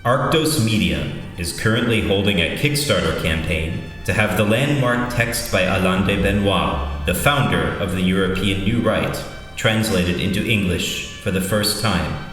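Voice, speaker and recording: Low-voiced man reading a cavernous ad. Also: measured pace. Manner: even, formal delivery. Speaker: ad announcer. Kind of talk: scripted ad read. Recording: distant voice in a reverberant hall with music.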